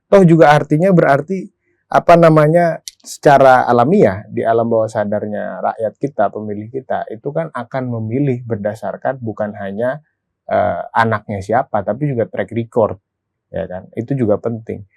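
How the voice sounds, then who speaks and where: A man's voice: medium pitch, normal speed, creaky, darkish tone, dry recording. A man hosting a political discussion, talking informally, making his argument and checking for agreement. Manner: informal, argues a point then checks agreement. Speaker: talk show host.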